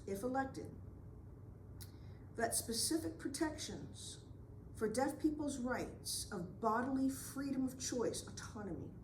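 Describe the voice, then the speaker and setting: A woman moderating a forum, reading a prepared question aloud in a slow, deliberate listing manner. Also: fairly high pitch, darkish tone, a little hiss or hum. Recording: echoey room.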